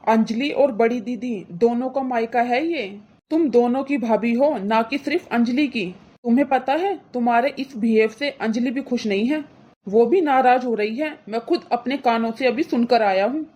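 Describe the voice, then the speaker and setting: A woman's voice: on the high side, normal speed, warm tone, close to an everyday voice. A woman voicing an indignant, protective brother in a cartoon moral story, scolding his wife with insistent, reproachful questions.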